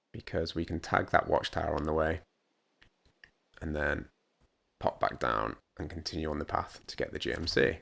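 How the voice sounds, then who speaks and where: A British man with a voice croaky from hay fever, giving casual, wryly self-deprecating commentary as he plays a video game. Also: rumbling low, fairly bright tone. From United Kingdom. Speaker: gaming let's player. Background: video game music and truck engine.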